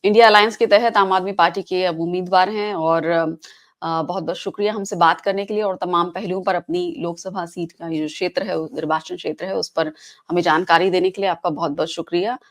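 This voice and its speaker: A woman's voice: fairly low pitch, steady tone, brisk. A woman interviewing a candidate, polite and formal, with hesitant fillers between phrases. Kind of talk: news interview.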